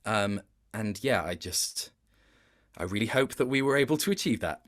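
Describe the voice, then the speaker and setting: A man giving a casual interview as a voice actor, thoughtful and halting, often interrupting himself. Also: lowish voice, animated, fairly bright tone.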